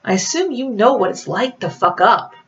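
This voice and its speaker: A roguish storybook man, acted by a woman, talks his way along with flippant, pleading exasperation. The pitch jumps up and swings about. The sound is slightly dull. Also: expressive, normal speed, a bit bright. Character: smooth-talking, pleading male rogue, notorious crime lord. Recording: dull lo-fi audio.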